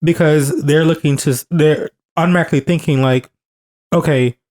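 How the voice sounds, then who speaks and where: A man's voice: fairly high pitch, fairly even intonation, moderate pace, darkish tone. A man in his thirties co-hosting a podcast, talking earnestly and repeating phrases for emphasis as he restarts his sentences. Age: 30s. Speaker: podcast co-host. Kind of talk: casual podcast conversation.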